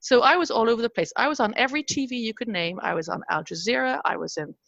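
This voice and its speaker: A woman's voice: fairly high pitch, fast talker, creaky, fairly bright tone, no echo, muffled recording. An Irish woman in her fifties, a guest telling her story in a casual interview and laughing often. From Ireland. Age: 50s.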